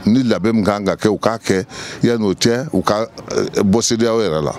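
A man's voice: fairly low pitch, normal speed, darkish tone, a little hiss or hum. A middle-aged man with a Malian accent giving his opinion outdoors in a street interview, emphatic and full of hesitations, speaking into a handheld mic. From Mali. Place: outdoors in a courtyard. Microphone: handheld mic held by interviewer.

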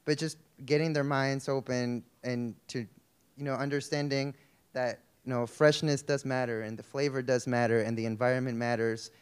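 Smooth-voiced young man on a panel. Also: medium pitch, fairly even intonation, moderate pace. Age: 20s. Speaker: service corps member on panel. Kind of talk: answering a question on stage. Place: conference hall stage, indoors. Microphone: handheld microphone.

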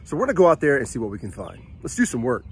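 A man's voice: medium pitch, huge pitch swings, racing, slightly rough. An American man in his thirties who works as an HVAC technician, presenting casually to camera. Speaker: HVAC technician and video host.

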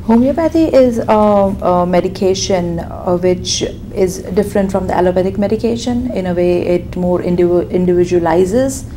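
A woman in her thirties from India with a Gujarati accent, answering questions in a deposition in a conference room, soft-spoken and hesitant. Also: fairly low pitch, moderate pace, few pauses, a little hiss or hum. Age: 30s. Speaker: deposition witness, physician assistant. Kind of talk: answering questions under oath.

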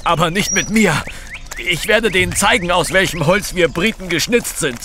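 An actor playing a fussy older British gentleman in an audio drama, blustering and whining. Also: high-pitched, moderate pace, music in the background. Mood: indignant.

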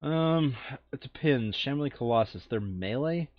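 A man casually playing through a board game, reading card text aloud and adding expressive, muttered asides. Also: medium pitch, a little slow, muffled recording, crowd noise.

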